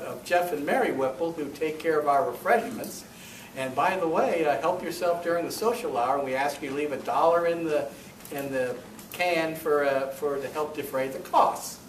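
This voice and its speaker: A man speaking as club president, giving an informal welcome and announcements in a halting, rambling, self-correcting way. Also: fairly high pitch. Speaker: club president hosting a meeting. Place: meeting room, recorded from a distance. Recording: roomy, distant mic, faint noise.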